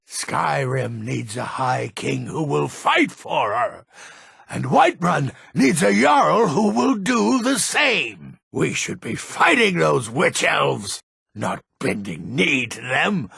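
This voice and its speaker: An actor playing a grumpy, defiant old man in a fantasy video game, with a raspy, gravelly voice and a gruff, theatrical, emphatic delivery. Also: a little high, a bit bright, no echo, studio quality, exaggerated voice. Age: older.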